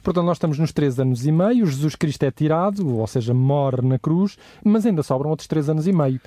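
A man's voice: a little high, expressive, a little fast, warm tone, dry recording. A man co-presenting a Bible study in a conversational way, explaining and putting questions.

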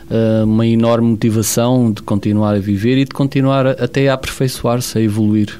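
Male radio guest, low monotone, dark tone, faint hum. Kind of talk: radio talk show conversation.

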